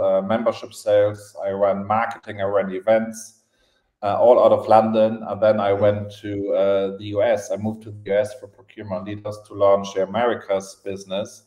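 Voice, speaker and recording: Man, German accent, flat intonation, halting conversational delivery, podcast guest, echoey room sound. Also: fairly low pitch. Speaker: podcast guest, events entrepreneur. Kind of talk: casual interview storytelling. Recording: roomy, echoing podcast audio.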